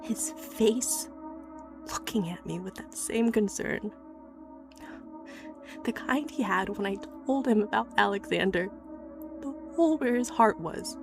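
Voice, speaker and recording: A woman's voice: medium pitch, lively intonation, unhurried, vocal fry, long pauses. A woman playing an obsessive, haunted young inventor from a wealthy family in a horror audio drama, confiding hesitantly with phrases that drop to a whisper. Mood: anguished, grieving. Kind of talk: horror audio drama acting, confessional monologue. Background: background music.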